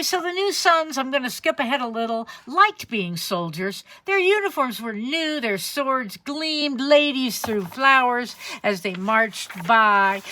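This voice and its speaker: A woman reading a children's picture book aloud in an expressive storytelling manner. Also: mid-range voice, dry recording. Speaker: storybook reader.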